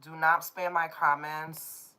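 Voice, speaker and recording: Woman w/ a low stuffy nasal voice, getting over a cold, chatting loosely on her tarot live. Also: flat delivery, slow, pushed and bright. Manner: chatty, rambling, addresses viewers directly. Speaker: tarot reader hosting a live stream. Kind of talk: casual live-stream chat.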